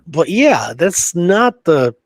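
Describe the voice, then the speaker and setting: A man co-hosting a history talk show in casual conversation, agreeable and quick to interject confirmations. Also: fairly high pitch, big pitch swings, no room sound, clean studio sound. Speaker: podcast co-host.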